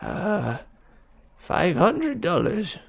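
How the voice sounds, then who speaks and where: Male reader doing a fretful old hunchbacked dealer, stammering, high and creaky. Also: huge pitch swings, very slow, exaggerated voice. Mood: pleading. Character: nervous elderly hunchbacked male antique dealer.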